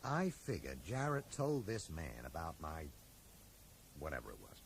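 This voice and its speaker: An actor playing a level-headed, earnest bookshop owner in a radio drama, explaining things in a measured way. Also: male voice, rumbling low, huge pitch swings, full character voice. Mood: earnest, reflective. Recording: mild hiss, 1980s radio drama.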